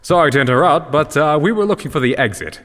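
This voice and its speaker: A man's voice: a little high, expressive, quick pace, a bit bright, no echo. A man playing a laid-back, scruffy adventurer in a fantasy audio drama, casual and cheeky. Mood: cheerful. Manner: casual, blithely friendly, slangy. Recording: music behind the voice.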